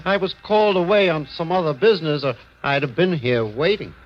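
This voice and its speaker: An actor playing a sly, money-grubbing American street informant who wheedles and hints in old radio drama style. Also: male voice, on the high side, brisk, natural voice. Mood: eager, wheedling. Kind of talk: 1940s radio drama acting. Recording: dull, noisy vintage radio recording.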